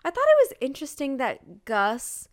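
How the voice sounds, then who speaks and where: A woman talks casually as a podcast co-host in a fairly high voice. Her intonation swings widely as she gushes and stresses her points. Her phrasing is loose and informal, sprinkled with filler words. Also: moderate pace. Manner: gushing, emphatic, expressive. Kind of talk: casual chat about a romance novel.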